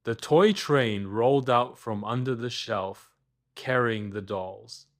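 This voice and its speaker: Casting: man to narrate kids' bedtime stories, unhurried, mid-range voice, expressive with dramatic pauses. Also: medium pitch. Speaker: storyteller, narrator. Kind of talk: scripted children's bedtime story narration.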